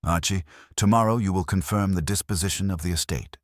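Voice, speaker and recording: A man's voice: low voice, brisk, dry recording, clean studio sound, voice pushed up, lightly acted. A man playing a stern, calculating older detective with a heavy voice and formal, commanding delivery in a mystery story. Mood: grave, commanding. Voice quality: heavy, weighty. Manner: formal, flat, commanding pronouncements. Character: stern, calculating older male detective genius, imperious and unhurried.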